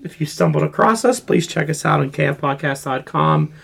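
A man hosting a casual pop culture podcast, hoarse and froggy from a sore throat, joking and rambling. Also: fairly high pitch, lively intonation, brisk, fairly bright tone. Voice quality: froggy, gravelly. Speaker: podcast host.

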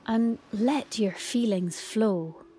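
A woman delivering a measured poetic recitation in a radio play. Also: medium pitch, warm tone, lightly acted.

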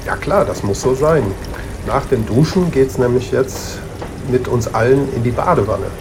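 Male returnable bottle acted by a man, medium pitch, patient, knowledgeable, reassuring guide, children's radio play, clear noise behind. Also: normal speed, natural voice. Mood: patiently explaining. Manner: reassuring, explanatory.

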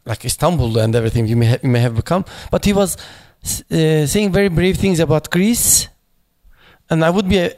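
A man speaking at a memorial panel, halting and searching for words, with many fillers and restarts in English that is not his first language. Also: on the high side, a little mellow. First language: not English; foreign accent in Turkish noted.

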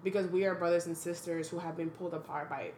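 A young woman from the Dominican Republic speaks in an earnest, thoughtful way, with the odd blunt aside. Her voice sits on the low side and rings in an echoey room. A faint background hum runs under it. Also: steady tone, fast, a little mellow. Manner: thoughtful, earnest, frank, with sudden blunt humor. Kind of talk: reflective casual chat.

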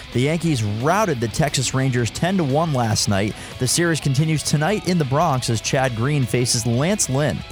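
A man hosting sports radio, reading updates and wrapping up shows. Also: on the high side.

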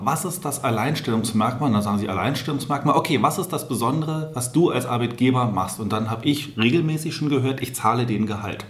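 A man hosting a business interview, speaking with energetic, enthusiastic greetings. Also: medium pitch. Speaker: interview host.

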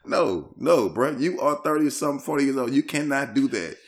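A man's voice: medium pitch, lively intonation, a little fast. A man co-hosting a podcast, bantering casually in slang with an incredulous, reactive manner. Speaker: podcast co-host.

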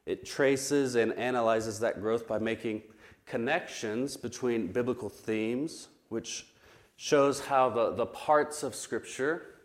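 A man teaching a Bible study class, his voice still recovering from an illness, explaining terms carefully with frequent pauses and restarts. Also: medium pitch.

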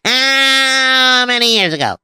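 A goofy, interrupting sidekick who mishears everything and trips over his own words, as a man voices him for a children's story show. The voice is very bright and clean, recorded close and dry. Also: very high voice, lively intonation, slow pace, clean tone, no echo, soft background music, full character voice. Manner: scatterbrained, stammering, giggly. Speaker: comic sidekick.

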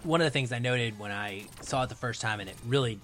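A man's voice: medium pitch, fast talker, a bit bright. A man around his thirties or forties talking casually as a podcast guest, explaining his film opinions in an analytical, emphatic way.

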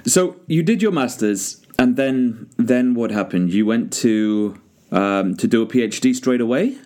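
A man hosting an interview, asking polite, unhurried questions of his guest. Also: medium pitch, mellow. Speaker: podcast host, interviewer. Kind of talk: conversational interview.

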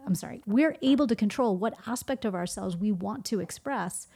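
A woman talking as a guest on a podcast, her voice mid-pitched and mellow. She speaks reflectively, often raising a question and then answering it herself. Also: animated, fairly quick, slightly rough. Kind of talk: casual podcast conversation.

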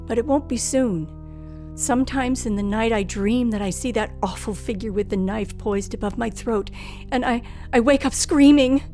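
A woman's voice: a little high, darkish tone, lightly acted. An actress playing a terrified young woman recounting a night of terror in an old-time radio thriller, with breathless, dramatic phrasing. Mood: shaken relief. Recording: vintage radio recording with music behind.

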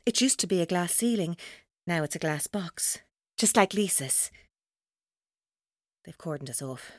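A woman's voice: on the low side, expressive, measured pace, no echo, studio quality. A woman playing a tormented, vengeful captor in a radio drama, with an intense, taunting, accusatory delivery. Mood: bitter.

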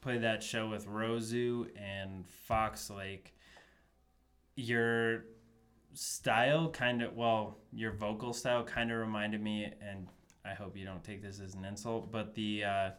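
A man hosting a podcast, chatting casually with frequent fillers in a relaxed way. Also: lowish voice, slow. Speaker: podcast host. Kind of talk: casual interview chat.

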